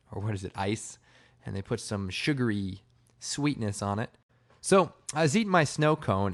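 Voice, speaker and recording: A man with a slightly hoarse, raspy voice giving a casual, informal software tutorial, explaining step by step. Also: on the low side. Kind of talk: casual screen-recorded tutorial narration.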